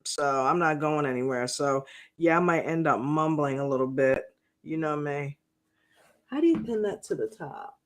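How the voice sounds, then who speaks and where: A woman casually reviewing a reality show on a livestream, slangy and animated, acting out quotes in a mocking tone. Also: low-pitched, normal speed. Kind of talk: casual reaction livestream chat.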